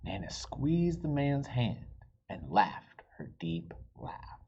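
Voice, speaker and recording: Male picture-book reader, slow and animated, expressive with dramatic pauses; slightly muffled, noisy home recording. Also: on the low side, breathy edge. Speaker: storybook reader. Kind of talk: expressive children's picture-book read-aloud.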